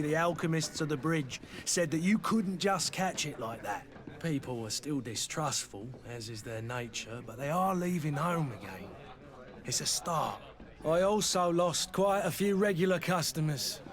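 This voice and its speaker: An actor playing a talkative, world-weary tavern keeper in a fantasy game, with a folksy storytelling manner. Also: male voice, fairly high pitch. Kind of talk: video game dialogue acting.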